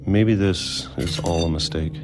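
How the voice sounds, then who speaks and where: An actor playing a weary, middle-aged recluse in a video game, narrating reflectively in a slow, measured, resigned manner. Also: male voice, sits low, over music. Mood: wistful, determined. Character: weary reclusive man, decades hidden in a bunker.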